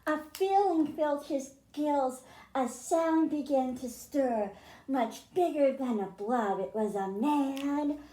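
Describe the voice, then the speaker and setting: A woman storytime host reads to young children at a slow, deliberate pace. Her voice is high and mellow, with expressive phrasing suited to a picture book. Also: reverberant, poor audio.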